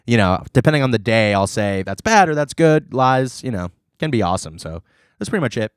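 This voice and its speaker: A man's voice: medium pitch, sing-song, brisk, smooth, no room sound, studio quality. A man co-hosting a casual beer review podcast, talking in a laid-back, rambling way with casual swearing. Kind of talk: casual banter.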